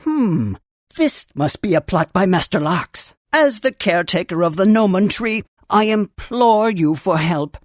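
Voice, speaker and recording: A woman voicing a wise, earnest creature who tends a great tree in a children's computer game, with theatrical, formal storybook phrasing and gentle humor. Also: medium pitch, lively intonation, measured pace, no echo, studio quality. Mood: grave, earnest. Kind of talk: scripted game character narration.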